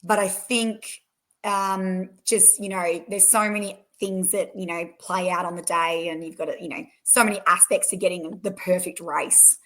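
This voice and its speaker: A young woman with an Australian accent, her voice hoarse and croaky, chatting as a guest on a podcast with lots of fillers and restarts. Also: on the low side, fairly bright tone. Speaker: race winner guest. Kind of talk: casual podcast interview.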